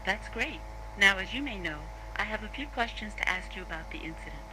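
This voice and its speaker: A woman playing a calm, businesslike interviewer, putting questions to a witness in a scripted horror drama. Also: medium pitch, a little fast, close to an everyday voice. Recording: noticeable hum and noise.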